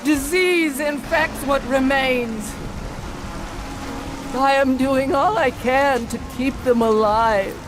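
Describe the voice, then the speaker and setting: An actress playing a vengeful, scornful goddess queen in a video game cutscene, with a haughty, accusing, dramatic delivery. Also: sits high. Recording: loud music behind the voice.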